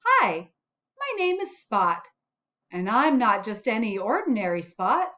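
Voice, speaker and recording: A woman's voice: on the high side, sing-song, a little slow, bright, forceful tone, highs cut off, exaggerated voice. A woman voicing a friendly, encouraging little spot character from a children's storybook. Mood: upbeat, encouraging.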